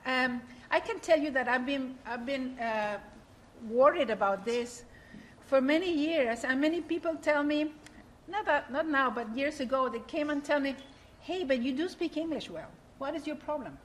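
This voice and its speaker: A woman's voice: high-pitched, slight background noise, rough recording. A woman from Argentina, moderating a conference workshop in an earnest, explanatory way with frequent restarts. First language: Spanish.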